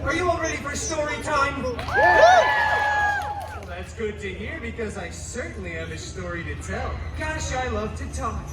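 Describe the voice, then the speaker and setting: An actor playing a cheeky, chatty superhero who tells a comic story to a live crowd, theatrical and sardonic with teasing asides. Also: male voice, extremely high pitch, expressive, normal speed, heavy background noise. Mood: playful, sardonic. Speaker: costumed theme park storyteller. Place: live outdoor theme park stage. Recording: echoey park PA, loud music and crowd.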